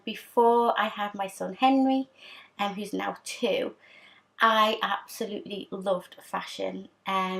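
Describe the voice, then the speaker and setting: Husky-voiced woman chatting to camera. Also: mid-range voice, fairly bright tone. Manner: chatty and candid, frequent ums. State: husky from a sore throat. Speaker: fashion vlogger. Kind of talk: casual chat to camera.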